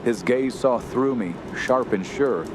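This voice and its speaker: An actor playing a haunted young wilderness survivor in a radio horror play, grave, solemn and theatrical. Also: male voice, mid-range voice, lightly acted. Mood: somber. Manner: slow, grave, solemn, halting when distressed. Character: haunted young male wilderness survivor narrating his terror. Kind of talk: dramatic radio horror narration and acting. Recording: constant outdoor ambience noise behind voice.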